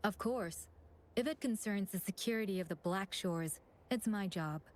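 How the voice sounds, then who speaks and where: A woman's voice: medium pitch, moderate pace, lightly acted. An actress plays a female chief administrator in a story-driven video game. She is courteous and composed, speaking in a mid-range voice with an orderly, official manner. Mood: composed, analytical.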